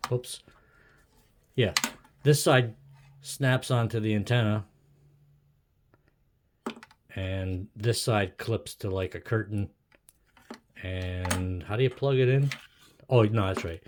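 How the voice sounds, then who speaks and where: A man's voice: lowish voice, slow, pauses often. A middle-aged man talking casually to himself as he shows something at home, hesitant, musing and self-deprecating. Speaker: amateur video maker. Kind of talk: casual rambling talk to himself while showing an object. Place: indoors at a wooden desk in a home room. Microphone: camera or phone on a tripod. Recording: clearly noisy home recording with clicks.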